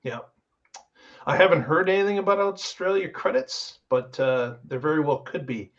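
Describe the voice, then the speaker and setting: A man's voice: fairly high pitch, expressive, normal speed, lo-fi sound. A man hosting a casual panel discussion, explaining things as he goes. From Canada. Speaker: show host.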